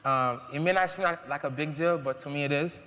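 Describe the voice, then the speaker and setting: A man's voice: fairly high pitch, a little fast, sharp tone. A young man from Haiti speaking earnestly on a panel, with many ums and uhs. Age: young adult. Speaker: mentee. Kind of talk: prepared speech on a panel. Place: large event hall. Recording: long hall reverb.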